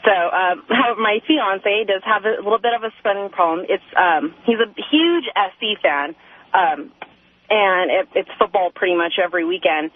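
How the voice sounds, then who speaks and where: A woman's voice: medium pitch, moderate pace. A woman, a hesitant, rambling and deferential caller chatting casually over the telephone. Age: 20s. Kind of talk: casual phone-in chat. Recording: tinny, narrow telephone line on radio.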